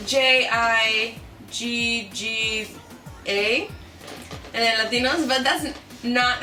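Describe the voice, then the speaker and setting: High-voiced woman from Mexico, distant and echoey. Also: pushed and bright. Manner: chatty, many "like" fillers. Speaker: co-host. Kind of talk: casual chat.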